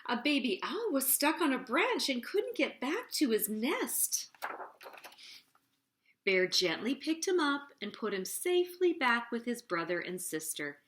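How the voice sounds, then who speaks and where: Slow, animated woman reading a storybook. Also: a little high. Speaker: children's storytime host. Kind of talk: expressive storybook narration.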